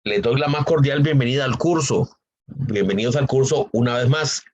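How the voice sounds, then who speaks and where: Male statistics lecturer, hoarse with a cold, mid-range voice, filler-heavy delivery, dull lo-fi sound. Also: medium pitch, a little mellow. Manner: unhurried, with frequent fillers and repetitions. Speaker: university statistics professor. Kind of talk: online lecture.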